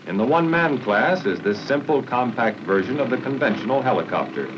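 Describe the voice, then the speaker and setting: An American man reading formal, instructional narration in a measured way for a 1950s military training film, on a muffled, noisy old soundtrack. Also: mid-range voice, animated.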